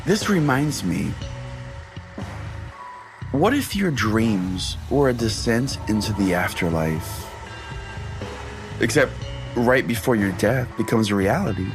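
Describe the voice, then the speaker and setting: Low-voiced man telling stories over music. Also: big pitch swings, slow pace, pauses often. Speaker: podcast host and storyteller. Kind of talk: comedic scripted first-person narration. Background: music bed under the voice.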